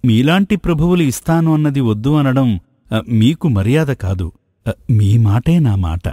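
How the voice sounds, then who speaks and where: A man's voice: medium pitch, lively intonation, normal speed, warm tone, no echo, clean studio sound, lightly acted. A man playing a greedy, courtly ascetic sage in a moral folk tale, with formal, theatrical phrasing. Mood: scheming. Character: flattering, greedy male ascetic sage, courtly and self-serving.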